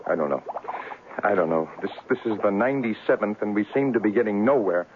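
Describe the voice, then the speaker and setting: An actor in a 1970s radio drama playing an earnest, discouraged young amateur genius, halting and melodramatic, repeating himself. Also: male voice, lowish voice, expressive, natural voice. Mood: weary, discouraged. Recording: tinny, noisy old radio broadcast recording.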